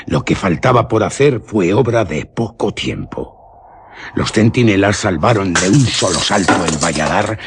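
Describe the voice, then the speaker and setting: A man's voice: fairly low pitch, slight background noise. A man narrating a gothic horror tale for radio drama in a solemn, theatrical, literary manner.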